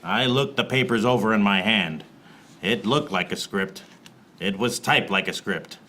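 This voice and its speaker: An actor playing a wisecracking, world-weary private detective in a film noir radio play parody, with a deadpan delivery. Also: male voice, lowish voice, unhurried. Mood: deadpan, brooding. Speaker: actor in lead role and narrator. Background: music behind the voice.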